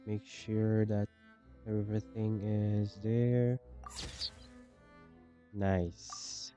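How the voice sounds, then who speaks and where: A man's voice: lowish voice, little pitch movement, very slow, mellow. A man streaming a game, commenting casually and muttering to himself in short, repeated phrases. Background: game music.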